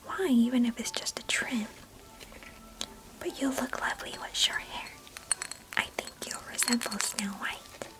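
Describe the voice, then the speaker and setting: A woman voicing a chatty, mischievous and affectionate young girl cousin in an ASMR roleplay, in a breathy, intimate whisper close to the mic. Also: a little high, unhurried, long pauses, faint music behind, low-quality recording. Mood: coaxing, mischievous. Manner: gentle, chatty, storybook-like old-fashioned phrasing. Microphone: close microphone.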